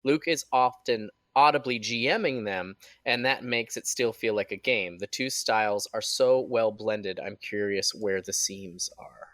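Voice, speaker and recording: A man's voice: fairly low pitch, unhurried, hardly any pauses, a bit bright, no echo. A man co-hosting a podcast, casually reading out questions sent in by listeners.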